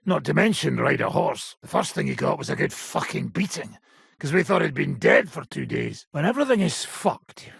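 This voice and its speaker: A battle-worn old outlaw baron for a video game, with a heavily rasping, crackling voice that sits fairly high for a man. He is blunt, coarse and sardonic, and his pitch swings widely as he talks. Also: sing-song, normal speed, a bit bright, dry recording, studio quality, exaggerated voice. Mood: wry, reminiscing. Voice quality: gravelly, very raspy. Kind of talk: video game character acting.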